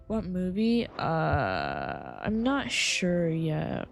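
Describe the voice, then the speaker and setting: A woman's voice: low voice, extremely slow, vocal fry, soft background music. A woman game streamer chatting casually on a live stream, her voice tired and a little scratchy. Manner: relaxed, rambling, chatty.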